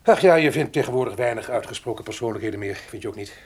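A cultured, self-important male intellectual in a radio drama. His husky voice sits at a middling pitch, and he phrases things with affected, wordy pomp. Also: animated, fast. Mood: confiding. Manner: pompous, affected, hesitant hums. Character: pompous, cultured, talkative male intellectual with a shifty streak. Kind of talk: radio play dialogue.

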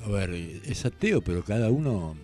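Low-voiced, lively man co-hosting. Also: moderate pace, faint music behind. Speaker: radio co-host. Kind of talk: conversational radio commentary.

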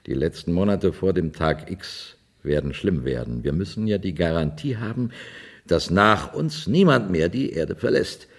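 Male senior space-city official for radio drama: deep, lively intonation, businesslike, calculating, slightly hesitant. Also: moderate pace. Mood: businesslike, persuasive. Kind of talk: German radio drama acting.

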